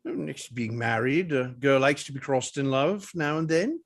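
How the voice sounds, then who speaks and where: A man's voice: mid-range voice, normal speed, vocal fry, noisy background, lightly acted. A man playing a wry, teasing older father, genteel and sardonic, in a staged reading of a period comedy. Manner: droll, sardonic.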